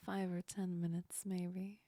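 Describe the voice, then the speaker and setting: A woman playing a teasing yet comforting female sleep demon in a whispered ASMR roleplay, breathy and soft, speaking close to the ear. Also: lowish voice, level tone, slow pace, no room sound. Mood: apologetic, explaining. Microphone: very close ASMR microphone.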